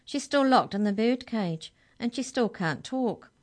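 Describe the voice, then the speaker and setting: A woman's voice: fairly low pitch, lively intonation, slow pace, full character voice. A woman voicing an earnest young male hero in a children's story, urgent and pleading.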